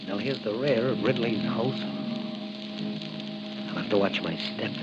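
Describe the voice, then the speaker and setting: A man's voice: lowish voice, measured pace, over loud music, lightly acted. An actor playing a bold, determined masked crime-fighting patrolman, thinking aloud in clipped remarks in 1940s radio style. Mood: wary, intent. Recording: noisy, muffled 1940 radio recording.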